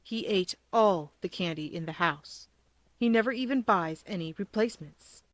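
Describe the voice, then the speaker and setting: A woman's voice: medium pitch, normal speed, no echo, lightly acted. Woman reading as an earnest teenage boy theorist. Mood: gloomy. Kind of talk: audiobook character voice.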